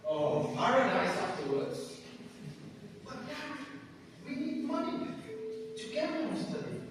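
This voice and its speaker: In a noisy, booming hall, a man plays a kindly, practical uncle on an amateur stage. The voice is very high and slow, with deliberate, teasing, exaggerated delivery. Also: sing-song, full character voice. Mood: concerned. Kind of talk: amateur one-act stage play acting. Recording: echoey hall, distant mic, noisy.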